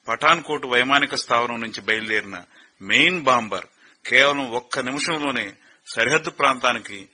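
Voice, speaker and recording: An actor playing a grave male officer who breaks hard news gently over the phone in a radio play. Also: medium pitch. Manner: measured, gentle, calls the girl "mother" fondly. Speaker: officer on a phone call, colleague of the father. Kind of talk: radio drama acting. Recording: radio broadcast.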